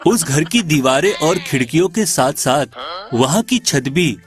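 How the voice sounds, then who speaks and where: A man narrating an animated folk tale as a storyteller, in scripted delivery. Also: a little high, a little slow, over music.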